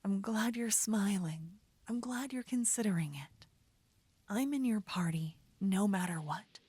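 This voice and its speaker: A devoted, playfully teasing fantasy adventurer , acted by a woman, speaks to her beloved in a soft, breathy voice that often slips into whispers. Her delivery is slow, intimate and soothing, in romantic ASMR roleplay style. The sound is very dry and close to the microphone. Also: mid-range voice, lively intonation, no room sound. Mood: devoted, earnest.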